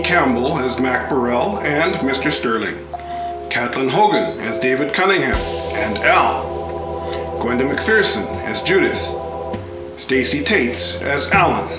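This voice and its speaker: A man reading closing credits as a scripted radio announcer. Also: mid-range voice. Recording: echoey, loud music behind. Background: loud music.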